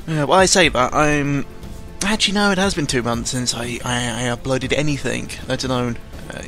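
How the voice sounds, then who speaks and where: A man's voice: medium pitch, bright, forceful tone. Sarcastic, rambling young man over music. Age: young adult. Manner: rambling, halting, full of self-interruptions; sarcastic, self-deprecating, exasperated sighs and swearing. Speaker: YouTuber recording an audio log. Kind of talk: casual unscripted news commentary. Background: background music.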